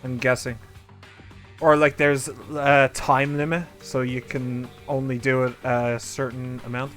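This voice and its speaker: A man's voice: mid-range voice, flat delivery, measured pace, a bit bright. A man streaming a game, chatting casually and rambling as he talks to his viewers. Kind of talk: casual let's play commentary. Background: game music.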